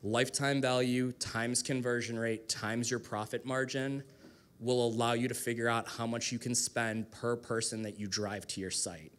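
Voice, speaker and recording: A man speaks as a guest presenter at a live meetup, talking into an event microphone with a mid-pitched voice. He explains in an informal, casual way, like someone addressing a room of peers. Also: level tone, normal speed, fairly bright tone. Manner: casual, explanatory, lightly profane. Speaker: guest speaker, digital marketing strategist. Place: live talk to a group in a room.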